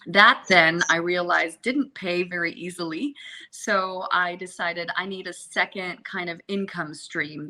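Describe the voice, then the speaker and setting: A woman's voice: fairly low pitch, pushed and bright, rough recording. A woman with an American accent, originally from the Seychelles, telling her own story as a podcast guest in a chatty, earnest, meandering way. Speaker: podcast guest, travel journalist and social media consultant. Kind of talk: conversational interview, personal storytelling.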